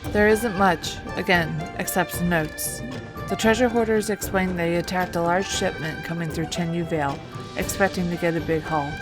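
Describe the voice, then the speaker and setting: A woman's voice: on the low side, steady tone, normal speed. A woman reading scripted narration of a video game story as a storyteller. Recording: voice-over with music bed. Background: music.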